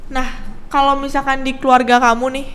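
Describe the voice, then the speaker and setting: A woman's voice: high-pitched, level tone, brisk, a bit bright. A teenage girl co-hosting a school podcast, friendly and chatty as she asks questions and adds her own stories. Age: teens. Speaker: podcast co-host. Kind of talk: casual podcast chat.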